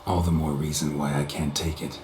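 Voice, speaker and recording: A man playing a gruff, weary, stoic monster hunter in a video game, speaking in laconic, deadpan phrases with a gravelly growl. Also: a bass voice, a little fast, roomy sound, slight background noise. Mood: steady, reassuring.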